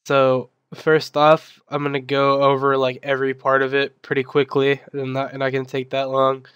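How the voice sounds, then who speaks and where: A man's voice: medium pitch, completely flat delivery, moderate pace, fairly bright tone, clean tone, dry recording, lo-fi sound. A young man explaining a game server control panel in a casual, filler-heavy way, his voice still recovering from being sick. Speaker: server admin giving a tutorial. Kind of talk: screen-recorded software tutorial.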